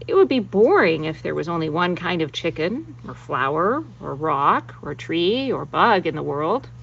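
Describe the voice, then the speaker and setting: Woman with a lively voice, gentle teacherly children's talk, outdoors, slightly dull recording, faint hum. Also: low voice, moderate pace, vocal fry. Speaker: children's story presenter at church. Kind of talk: children's lesson about her chickens. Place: outdoors with chickens in grass.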